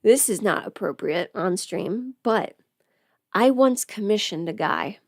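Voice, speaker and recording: A woman playing a chatty, playful streamer who rambles casually through her makeup routine, often slipping into hushed asides. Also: medium pitch, animated, unhurried, gravelly, no room sound, clean studio sound. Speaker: livestreamer doing a get-ready-with-me makeup stream. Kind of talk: casual livestream chat, scripted audio roleplay.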